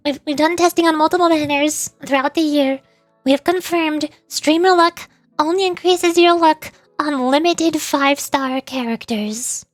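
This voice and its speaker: A woman hosting a casual gaming livestream, chatting in an animated, playfully whiny way. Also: sits high, normal speed, no room sound, faint music behind. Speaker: VTuber livestream host.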